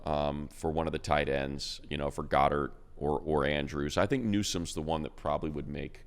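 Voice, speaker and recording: Very deep-voiced man riffing on football. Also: steady tone, normal speed, clear, resonant tone. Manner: rambling, many restarts and repeated words. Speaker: radio play-by-play announcer and podcast co-host. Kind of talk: casual sports chat.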